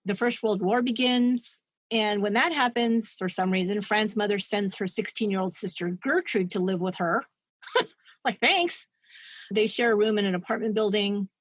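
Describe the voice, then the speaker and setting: A woman's voice: medium pitch, normal speed, no room sound. A woman co-hosting a podcast, retelling a true-crime story in a chatty, digressive way and laughing at her own asides. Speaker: podcast co-host.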